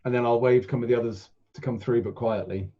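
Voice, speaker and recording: A man's voice: mid-range voice, steady tone, fast talker. A man talking casually as a player in a tabletop game, on a slightly muffled microphone.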